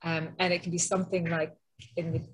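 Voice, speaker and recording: A woman's voice: fairly low pitch, flat delivery, a little fast, slightly rough, low-quality recording. A middle-aged woman with an Edinburgh Scottish accent, giving a webinar presentation over a video call, talking conversationally with frequent ums and restarts. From Scotland. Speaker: interior designer presenting a webinar.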